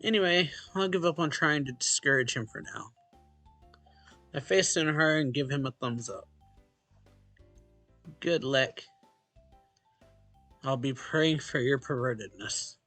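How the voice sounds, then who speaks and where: Bright-voiced man reading a visual novel aloud. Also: mid-range voice, a little slow, pauses often, faint music behind. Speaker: let's play host.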